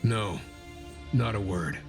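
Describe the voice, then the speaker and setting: A man's voice: on the low side, slow pace, vocal fry. An actor playing a stern, duty-bound and weary male military commander, grave and commanding, in a sci-fi drama. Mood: grim, self-blaming. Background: music.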